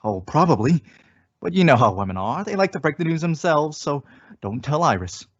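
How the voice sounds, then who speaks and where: A man's voice: on the high side, very animated, normal speed, breathy edge. A man playing an eager, doting husband and father-to-be in an old-time radio sitcom, with a coddling manner. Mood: excited, confiding. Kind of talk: 1940s radio sitcom acting. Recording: muffled old-time radio style recording.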